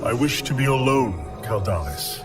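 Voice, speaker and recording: An actor playing a weary, doubting alien warrior leader, speaking gravely and formally. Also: male voice, mid-range voice, expressive, moderate pace, natural voice. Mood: brooding, grave. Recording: loud dramatic music under the voice. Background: loud music.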